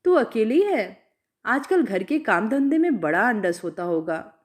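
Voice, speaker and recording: A woman voicing a sharp-tongued ageing village widow in a storybook reading, blunt, probing and rustic in manner. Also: on the high side, big pitch swings, unhurried. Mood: concerned.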